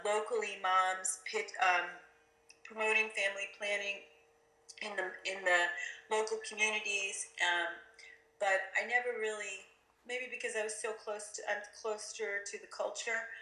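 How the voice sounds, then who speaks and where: A woman's voice: medium pitch, fairly even intonation, moderate pace, pushed and bright, rough recording. A woman from Afghanistan who grew up in the States, a documentary filmmaker answering interview questions candidly, with fillers and restarts.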